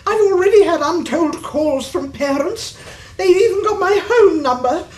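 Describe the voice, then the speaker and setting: Very high-pitched flustered school secretary. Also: female voice, expressive, darkish tone, roomy sound. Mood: exasperated. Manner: shrill, flustered, halting, exasperated. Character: flustered, overwrought English female school secretary. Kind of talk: radio comedy drama acting.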